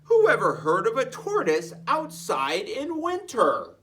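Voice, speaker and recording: Scolding tortoise parent, children's storybook: reader puts on pompous, indignant, drawn-out, very animated. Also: medium pitch, distant mic, a little hiss or hum, voice pushed up.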